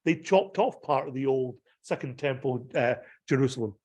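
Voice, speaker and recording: A man's voice: medium pitch, normal speed, darkish tone, highs cut off. A Scottish man, a pastor, giving a digressive slideshow talk about a pilgrimage, full of ums and restarts. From Scotland.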